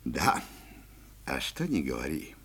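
A man's voice: very deep voice, wildly swooping pitch, takes it slowly, fairly bright tone, husky, exaggerated voice. An actor playing a kindly forester father in a children's audio play, marvelling and emphatic as he explains things to his boy. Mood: marvelling, amused. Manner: emphatic storytelling to a child, astonished. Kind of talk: 1970s Soviet audio play acting.

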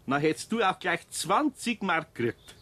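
A man's voice: high-pitched, big pitch swings, moderate pace, pushed and bright, full character voice. A man playing a patient, good-natured older craftsman with a Bavarian accent and a mildly grumbling manner in a children's radio play. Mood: explaining. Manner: homely, soothing, mildly exasperated.

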